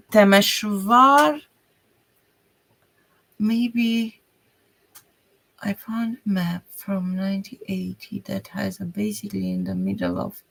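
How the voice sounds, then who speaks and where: A woman with a Hungarian accent talks to her live viewers while she works on crafts. Her voice has a gravelly, creaky edge, and she speaks very slowly. She thinks aloud in halting bits and often trails off. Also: mid-range voice, low-quality recording. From Romania. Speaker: crafter streaming a journaling session. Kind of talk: casual live-stream chat while crafting.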